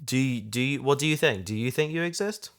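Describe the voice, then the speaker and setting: Man in casual, halting discussion. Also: medium pitch, lively intonation, a bit bright, clear, resonant tone. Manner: halting, repeats and restarts phrases while thinking aloud. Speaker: writer and host of a sci-fi audio drama discussion.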